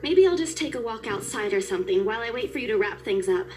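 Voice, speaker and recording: A woman's voice: on the high side, lively intonation, quick pace, a little mellow, soft background music, natural voice. A woman voicing a proud, self-conscious young estate agent in a visual novel game, defensive and reluctant to back down.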